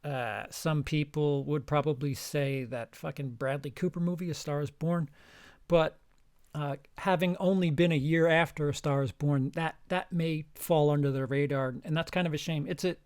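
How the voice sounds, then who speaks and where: A man's voice: a little high, steady tone, moderate pace, darkish tone, clean tone. A man talking loosely through his ranked list of the year's films, restarting his sentences often and swearing casually. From lived in Denmark. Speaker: channel host ranking films. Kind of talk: unscripted one-take film ranking.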